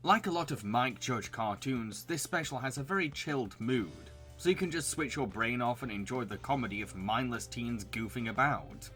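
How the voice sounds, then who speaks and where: A man's voice: medium pitch, sharp tone. A man reading scripted narration as a video reviewer.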